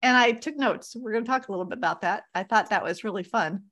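A woman's voice: mid-range voice, fast talker, a bit bright. A woman hosting a talk show, opening with a scripted intro and then welcoming her guest in an upbeat, emphatic way. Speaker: host.

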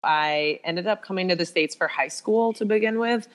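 A woman's voice: on the low side, moderate pace. A young woman from Canada chatting as a podcast guest, easygoing and loose with fillers. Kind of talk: casual interview chat.

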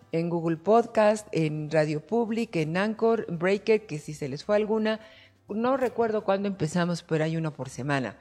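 A woman's voice: medium pitch, a little mellow. A woman hosting a talk show, speaking in an affectionate, emotive and expansive way. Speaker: host.

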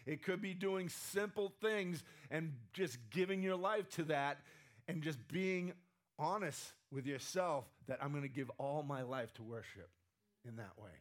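A man's voice: fairly high pitch, a little slow. A man preaching a conversational sermon, often asking the room "right?", his voice strained and sometimes cracking. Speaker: pastor.

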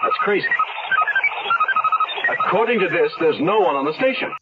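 Tinny, commanding mission control chief. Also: male voice, high-pitched, huge pitch swings, moderate pace, music in the background. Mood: urgent, tense. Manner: clipped, urgent, authoritative. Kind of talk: 1970s radio drama acting. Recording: tinny, noisy old radio recording.

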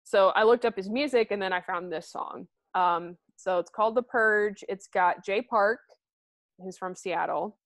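A woman's voice: mid-range voice, a little slow, dry recording. Woman, podcast co-host, casual rambling chat, close dry mic. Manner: chatty, rambling with fillers and laughs.

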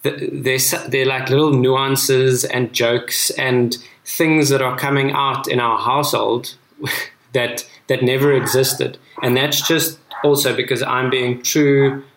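A man whose first language is Afrikaans talks in a thoughtful, earnest way, pausing and restarting as he works through his ideas. His voice sits at a medium pitch. The sound is roomy, with clear echo off the walls. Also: flat delivery, fairly bright tone. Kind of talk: reflective casual discussion.

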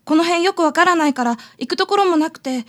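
A woman's voice: extremely high pitch, fast, fairly bright tone. A woman playing a shy, polite schoolgirl in a radio drama. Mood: shy, apologetic.

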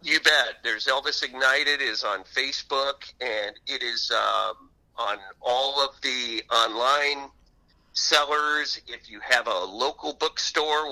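A man speaking as an author guest in an interview, telling stories conversationally and acting out the odd quote in an animated way. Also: medium pitch, low-quality recording.

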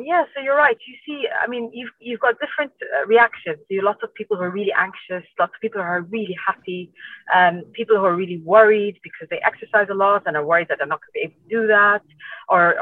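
A woman's voice: mid-range voice, no room sound. A woman from Iraq talking as a doctor guest in a conversational interview, explaining things in a hedging way and often repeating phrases. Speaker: doctor, obstetrician and gynecologist guest. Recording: muffled phone-like call, distant from mic.

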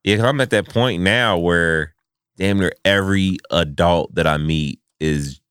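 A man in his thirties, chatting casually with friends in a laid-back, slangy way, telling animated stories. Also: deep voice, measured pace, clear, resonant tone, no room sound, studio quality. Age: 30s. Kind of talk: casual chat.

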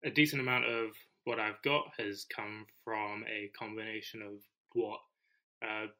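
A man from the UK co-hosting an education podcast, explaining his points in a measured, thoughtful way. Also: on the low side, flat delivery, pushed and bright. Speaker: podcast co-host, teacher. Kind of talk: casual conversation.

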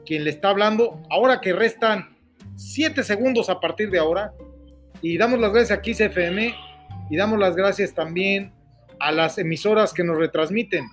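A man's voice: high-pitched, moderate pace, faint music behind. A middle-aged man with a Mexican accent, hosting live call-in radio and talking in an earnest, rambling way that repeats itself. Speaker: radio host.

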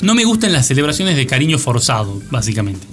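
A man's voice: mid-range voice, very animated, quick pace, fairly bright tone. A man with an Argentine accent, co-hosting a casual radio chat with a joking, ironic manner. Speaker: radio show co-host. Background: music playing behind the voice.

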